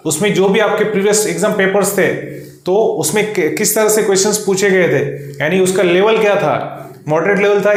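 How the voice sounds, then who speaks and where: A man with an Indian accent teaching an online exam lesson, explaining in an instructional way and mixing in English terms. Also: high voice. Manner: instructional, mixes Hindi with English terms, frequent check-in tags. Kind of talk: explanatory online lesson, reading out syllabus lists. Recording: very echoey, reverberant room.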